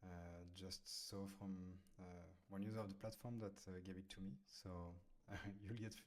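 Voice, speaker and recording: A man with a French accent, casually talking through a software tutorial at his computer, pausing often with fillers as he types. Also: deep voice, steady tone. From France. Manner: hesitant, many fillers while typing. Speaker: software demo host. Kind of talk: casual screen-recorded tutorial.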